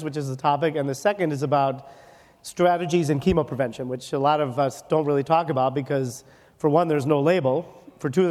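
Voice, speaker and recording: A man with a slightly high voice delivers a conference lecture on medicine in a big hall, and the long reverb trails after his words. He is from Wisconsin and mixes quick jokes into the teaching, often tagging a point with "okay?". He sounds like he is speaking at a podium mic. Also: a little mellow. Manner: joking, rapid, ends points with "okay?". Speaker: dermatologist lecturer.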